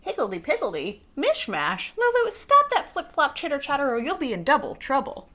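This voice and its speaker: Storybook teacher, female reader acting: high-pitched, exasperated scold, rattles off silly rhyming words, very animated. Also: moderate pace, full character voice.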